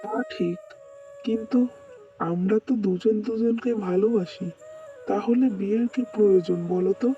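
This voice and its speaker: A woman's voice: medium pitch, fairly even intonation, moderate pace, mellow, recorded from a distance, highs cut off, soft background music, close to an everyday voice. A woman voicing an earnest, devoted young man in love in a melodramatic, soothing cartoon manner.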